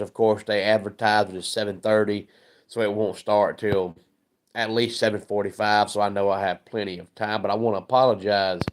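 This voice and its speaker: A man hosting a casual sports livestream, hoarse and scratchy from a head cold, chatting and greeting viewers by name. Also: lowish voice. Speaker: sports channel host.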